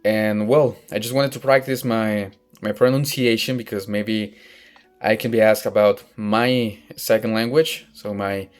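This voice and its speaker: A young man from northern Mexico, a native Spanish speaker with a Mexican accent, talking casually about himself in English and often pausing to search for words. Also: lowish voice, fairly even intonation. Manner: hesitant, searching for words, frequent restarts. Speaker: vlogger practicing English. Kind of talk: casual personal monologue. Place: outdoors in sunlight.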